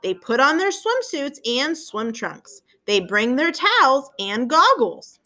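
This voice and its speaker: High, very animated woman teaching young children. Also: slow pace. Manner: addresses listeners as friends, prompting with questions. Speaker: elementary school teacher. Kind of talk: read-aloud lesson for young children.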